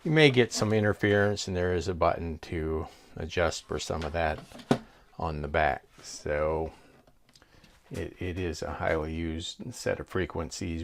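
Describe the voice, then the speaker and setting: A man giving a casual product demonstration as a reviewer, explaining things in a plain-spoken, hesitant way. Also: deep voice.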